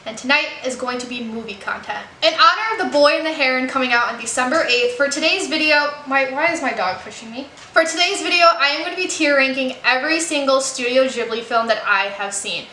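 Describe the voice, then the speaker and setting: A young American woman chatting casually to her channel, upbeat and quick to laugh. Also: sits high, pushed and bright. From United States. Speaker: YouTube creator. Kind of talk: casual chatty video commentary. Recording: echoey room with faint background noise.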